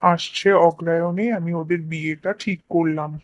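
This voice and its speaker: A woman's voice: on the low side, level tone, normal speed, no echo, close to an everyday voice. A woman voicing an assured, reassuring village elder in a cartoon drama. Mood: approving. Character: approving, reassuring village elder arranging a wedding. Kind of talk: Bengali cartoon drama dubbing.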